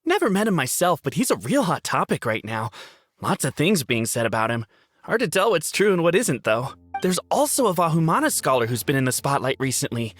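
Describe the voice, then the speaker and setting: A man's voice: a little high, very animated, a little fast, fairly bright tone, soft background music. A man playing a casual, gossiping scholar in a video game. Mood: gossipy. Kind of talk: video game dialogue acting.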